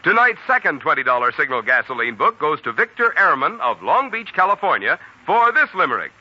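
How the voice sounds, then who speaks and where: A man's voice: fairly high pitch, big pitch swings, a little fast, sharp tone, no room sound. An American man announcing for a sponsor on 1940s radio in a polished, jovial, projected way. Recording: dull 1940s radio recording, music behind.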